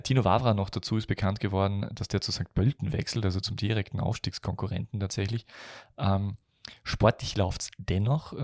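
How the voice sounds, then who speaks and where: Ein Mann mit österreichischem Akzent, der als Podcast-Moderator locker über Fußball plaudert und dabei stockend nach Worten sucht. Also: male voice, fairly low pitch, creaky, darkish tone, no echo, studio quality. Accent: Austrian. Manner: halting, searching for words, many hesitations. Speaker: podcast host. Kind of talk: casual football podcast chat.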